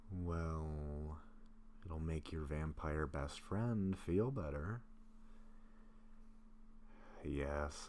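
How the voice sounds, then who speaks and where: A man playing a gentle, affectionate vampire in an intimate roleplay audio drama, speaking in a soft, halting, confiding way. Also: rumbling low. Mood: teasing, affectionate. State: sick, occasional coughing. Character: gentle sick male vampire, affectionate, self-doubting best friend. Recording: faint steady background noise.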